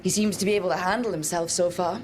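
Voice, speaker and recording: A woman playing a confident young team leader in a video game, calm and wry, with a steady delivery. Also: on the low side, quick pace, over music, lightly acted. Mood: wry, amused. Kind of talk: dramatic video game acting.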